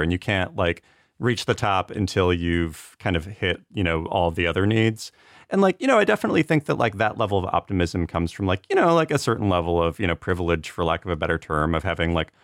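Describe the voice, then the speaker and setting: A man's voice: low-pitched, no room sound, studio quality. A man talking casually as a podcast panelist, hedging and rambling, with "like" peppered through his sentences. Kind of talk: casual conversational discussion.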